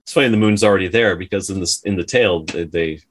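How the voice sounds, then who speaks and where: Low-voiced man casually retelling folktales. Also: quick pace. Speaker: podcast co-host.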